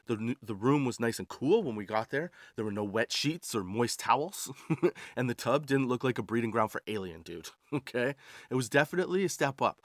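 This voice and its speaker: Male podcast host, 40s, medium pitch, wry and slangy comedic storyteller, very little room sound. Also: a bit bright, no echo, clean studio sound. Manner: wry, slangy, self-deprecating, chuckles between punchlines. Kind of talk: comedic personal storytelling monologue.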